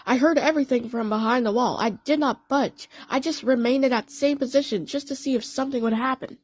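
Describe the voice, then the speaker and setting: A woman's voice: sits high, brisk, slightly rough, no echo. A woman voicing a chatty cartoon DJ cat in an audio play, casual and coaxing. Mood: bemused.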